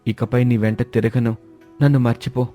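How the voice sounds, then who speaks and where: A man's voice: medium pitch, flat delivery, moderate pace, mellow, faint music behind, lightly acted. A man voicing a conscientious, poor young student seeking work in a cartoon story, serious and explanatory. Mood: regretful.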